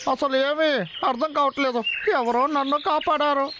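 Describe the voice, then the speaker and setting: A man's voice: very high voice, steady tone, moderate pace, heavy background noise. A man voicing a hot-tempered, scheming, hapless hunter in a dubbed cartoon, with a whining, dramatic, exclamatory delivery. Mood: pained, confused. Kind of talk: animated cartoon dub acting. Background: loud background music.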